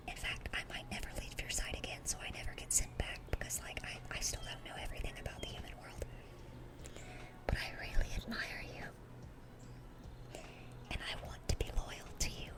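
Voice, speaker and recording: Woman performing a young female demon, ASMR roleplay, whispered, breathy, eager, lovestruck, gushing and awkward. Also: close to an everyday voice. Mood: tender, soft, then shy, earnest. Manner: flustered, stammering, gushing, earnest.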